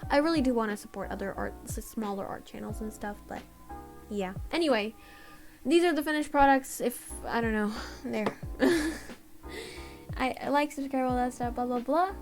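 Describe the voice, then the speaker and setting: A tired young woman with a slightly raspy voice, rambling and apologizing in a casual voiceover for her art channel. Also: fairly high pitch, normal speed. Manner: rambling, apologetic, self-deprecating. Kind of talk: casual voiceover over a speedpaint. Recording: voiceover over loud background music. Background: background music.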